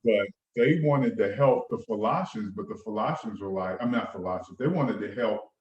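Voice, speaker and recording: A man's voice: lowish voice, lively intonation, normal speed. A man joining a casual faith chat as a guest, steadily explaining a point at length. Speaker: guest panelist. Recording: dull, echoey remote audio.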